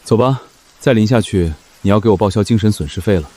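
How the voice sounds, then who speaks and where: An actor playing a cool company boss in an animated short drama, with a dry, teasing, curt manner. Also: male voice, on the low side, fast talker, a little hiss or hum, natural voice.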